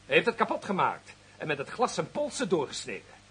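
A very husky, rough-edged vindictive official in a radio play. His voice is fairly high for a man and cuts through with a hard, bright edge. He threatens theatrically, drunk on his own power. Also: huge pitch swings, brisk, full character voice. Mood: scornful, threatening. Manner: menacing, theatrical, swinging between hiss and bellow. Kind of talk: radio drama acting.

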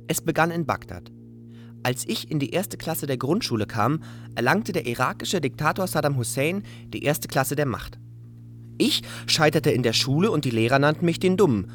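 A fairly high, very lively male voice as an unlucky man blaming himself. He delivers a darkly ironic radio play monologue with music behind him. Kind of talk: scripted radio drama monologue.

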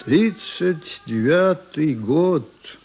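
An actor playing a journalist narrator in a radio play, reminiscing in a conversational, musing way with deliberate pauses. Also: male voice, on the high side, expressive, darkish tone, slight background noise, heavily acted. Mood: reflective. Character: reminiscing male journalist narrator, unhurried and intimate.

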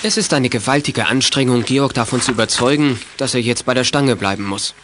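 A man's voice: medium pitch, fairly quick, a bit bright, a little hiss or hum. A man narrating a scripted German children's audio drama in the present tense as storyteller.

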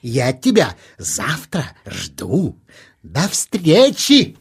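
A boastful cocky hare. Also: male voice, fairly high pitch, wildly swooping pitch, extremely slow, vocal fry, slight background noise, heavily acted. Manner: laughs a lot, teasing and boastful. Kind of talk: children's audio play acting.

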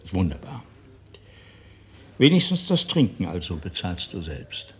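Sardonic boozer in radio play, deepish voice, very up and down, needling. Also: male voice, wildly swooping pitch, a little slow, exaggerated voice. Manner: sarcastic, falsely solicitous. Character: needling, bitterly sardonic drinker. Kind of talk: radio drama acting.

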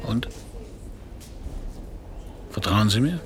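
A man's voice: fairly low pitch, expressive, drawn-out words, slight background noise. An actor playing a hard-boiled, stubborn male private detective in a radio crime play, talking in a dry, laconic, sardonic way. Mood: probing, skeptical. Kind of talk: first-person radio crime drama narration and dialogue.

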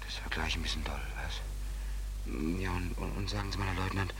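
A man's voice: low voice, steady tone, normal speed, lightly acted. An actor playing an easygoing drifter in a 1940s German radio play, hesitant and polite with a mock-naive manner, repeating his words. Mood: sheepish, unruffled. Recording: noisy old 1940s radio recording.

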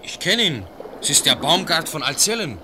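A man's voice: fairly high pitch, sing-song, normal speed, background noise. An actor playing an observant, inquisitive country fisherman in a classical radio drama. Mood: observant, friendly.

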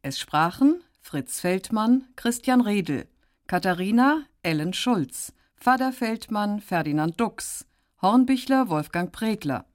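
Slow-paced woman announcer, dry studio. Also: mid-range voice, expressive, dry recording. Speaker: announcer reading credits. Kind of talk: formal scripted radio announcement.

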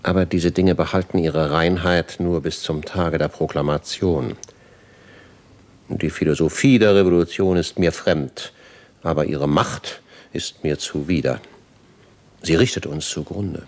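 Weary older father-in-law, vintage radio play: deep, grave, articulate, reflective delivery. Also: measured pace. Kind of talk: 1950s radio drama acting.

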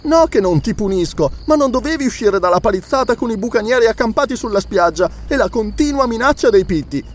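A man voicing a protective, indignant young noblewoman with a shrill manner in a storybook reading. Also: animated, brisk, hardly any pauses. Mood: tender worry.

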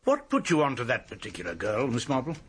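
An actor playing a gruff, blunt upper-class English colonel in a radio drama. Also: male voice, medium pitch, huge pitch swings, fast talker. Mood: gruff, disapproving.

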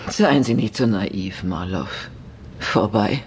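An actor playing a blunt, disillusioned informant in a radio drama, terse and serious. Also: male voice, on the low side, slow pace, slight background noise. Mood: grave.

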